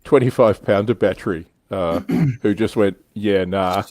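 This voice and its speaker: A man's voice: on the low side, a little slow, a little mellow, dry recording. A man hosting a casual hobby livestream, reading out comments and chatting in an informal, wry way. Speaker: livestream host.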